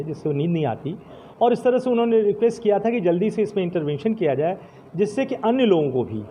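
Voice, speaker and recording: A man giving a formal interview as a senior official, speaking in a measured, halting way with English terms mixed in. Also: on the high side, husky, lo-fi sound.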